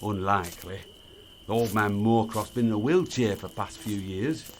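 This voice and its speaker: An actor playing a blunt, grumbling middle-aged British ex-miner, gruff and wary, in an audio drama. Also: male voice, on the low side, steady tone, moderate pace, slight background noise, natural voice. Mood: matter-of-fact. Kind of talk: naturalistic audio drama acting.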